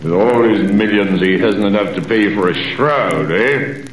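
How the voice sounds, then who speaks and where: A man's voice: lowish voice, measured pace, a little hiss or hum, lightly acted. A man playing a curt, callous prison governor. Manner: curt, commanding. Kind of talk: 1930s radio drama acting. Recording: roomy old radio recording, off-mic.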